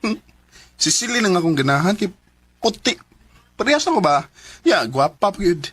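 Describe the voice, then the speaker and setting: A man's voice: sits high, big pitch swings, moderate pace, fairly bright tone, breathy edge, exaggerated voice. A man with a Cebuano Filipino accent playing a vain, eager young suitor in a radio drama. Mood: eager, boastful.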